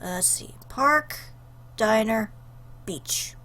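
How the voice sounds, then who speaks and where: A woman's voice: fairly high pitch, lively intonation, slow, sharp tone. A woman hosting a let's play, chatting casually and jokingly as she comments on the game. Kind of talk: casual playful game commentary with read-aloud choices.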